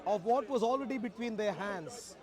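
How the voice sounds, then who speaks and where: High-voiced man in his forties, pressing insistently. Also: expressive, moderate pace. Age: 40s. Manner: insistent, repeats questions, talks over opponent. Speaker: street debater. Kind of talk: impromptu street religious debate. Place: outdoors at a public park speakers' corner. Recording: outdoor street recording with crowd noise.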